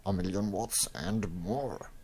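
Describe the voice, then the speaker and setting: A man's voice: low voice, slow, darkish tone, exaggerated voice. A man playing an eager, proud inventor, formal and earnest, in a comic-book drama. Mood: proud eagerness. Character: eager, proud male inventor, restless and adventurous. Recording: clearly noisy recording.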